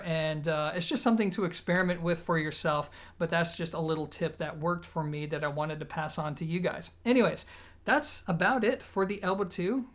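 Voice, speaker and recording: A man presenting an audio gear review, talking conversationally and directly to his viewers. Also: a little high. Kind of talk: product review talk to camera.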